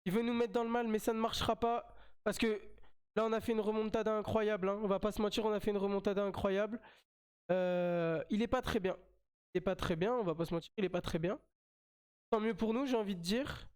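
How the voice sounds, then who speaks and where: Smooth-voiced French man streaming a game. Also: sits high, fairly even intonation, fairly quick, darkish tone. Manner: chatty, repeats himself while playing. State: has a slight cold. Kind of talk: live mobile game commentary.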